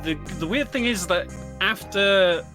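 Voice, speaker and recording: A man's voice: high-pitched, measured pace, low-quality recording. A man co-commentating on a speedrun in a casual, explanatory, somewhat hesitant way. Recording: loud game music behind. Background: video game music.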